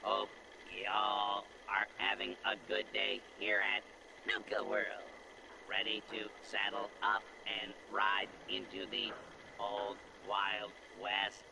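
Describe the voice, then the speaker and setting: A man voicing a folksy robot cowboy sheriff in a video game, with a halting, programmed delivery. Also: on the low side, completely flat delivery, vocal fry, background noise, heavily acted. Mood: folksy, flat. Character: folksy robot cowboy sheriff greeting theme park visitors. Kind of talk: scripted video game character dialogue.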